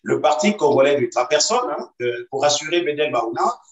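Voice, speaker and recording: A man defending his party in a video-call debate, measured and full of hesitations. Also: on the high side, animated, dull audio. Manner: measured, hesitant with many fillers, insistent. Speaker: party activist guest. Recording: roomy echo, distant from mic.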